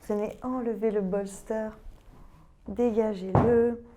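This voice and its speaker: A woman yoga teacher who is a native French speaker speaks very slowly and gently, in a mellow, breathy voice. Her delivery is calm and even, often close to a whisper, as suits guided relaxation and meditative reading. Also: a little high, lo-fi sound, slight background noise.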